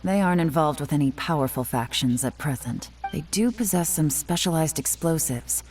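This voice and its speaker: A woman voicing a composed, analytical investigator in a video game, speaking in a measured, deliberate way. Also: low voice, lively intonation, darkish tone.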